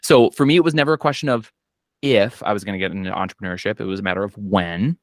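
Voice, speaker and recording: Low-voiced Minnesotan man, relaxed storyteller. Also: quick pace, dry recording, clean studio sound. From Minnesota, US Midwest. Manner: relaxed storytelling with frequent fillers and quoted asides. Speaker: accountant and business owner. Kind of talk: casual podcast conversation. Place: home office. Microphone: video call.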